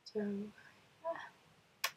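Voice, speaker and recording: A woman's voice: medium pitch, animated, very slow, darkish tone, slight background noise. A woman with a British accent chatting casually through a list of questions, rambling with lots of fillers while she is ill. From United Kingdom. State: ill, voice rough. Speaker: vlogger.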